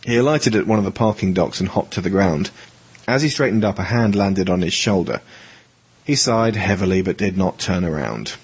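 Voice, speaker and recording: A man's voice: deep voice, expressive, moderate pace, husky. A man reading scripted audio drama narration as the storyteller.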